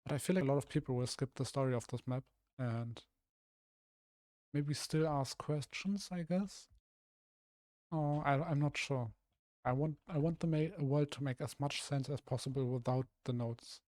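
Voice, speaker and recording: A young man from Germany, a streamer with a rough, slightly hoarse voice, thinking aloud hesitantly with many restarts while he builds in a game. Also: medium pitch, moderate pace, a little mellow, no room sound, studio quality. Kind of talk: casual live-stream commentary while building.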